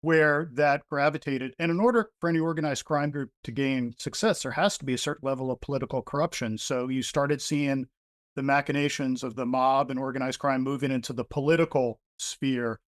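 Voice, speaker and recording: A man's voice: mid-range voice, a little fast, dry recording, studio quality. Conversational New man as author guest. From New Jersey. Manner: relaxed, conversational, many fillers like "you know". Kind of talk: interview answers.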